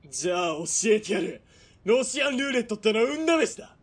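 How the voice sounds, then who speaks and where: An actor playing a sadistic, menacing military police villain in a radio drama, sneering and mocking, from quiet threats to barked orders. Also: male voice, high voice, animated, quick pace, breathy edge. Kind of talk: Japanese radio drama acting.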